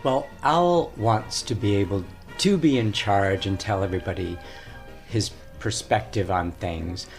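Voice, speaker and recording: A man with a lowish voice describes his stage role. His humor is dry and playful, with faint music in the background. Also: measured pace. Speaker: stage actor. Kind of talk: casual interview chat.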